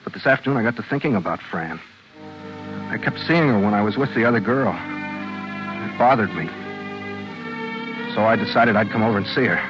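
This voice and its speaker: An actor playing a guilt-ridden, obsessive ex-boyfriend in a 1940s radio drama, speaking with halting, emotional delivery. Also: male voice, on the low side, expressive, over music, close to an everyday voice. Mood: haunted, confessing. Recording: muffled vintage 1940s radio recording.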